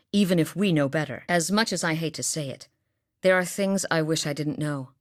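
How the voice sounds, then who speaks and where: Casting: low-voiced, blunt northern huntress for a game, deadpan, dry close-mic booth. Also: female voice, dry recording. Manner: dry humor, matter-of-fact. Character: steady, blunt woman hunter from a far northern village. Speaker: game character voice lines. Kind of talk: studio game voice acting. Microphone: close studio mic.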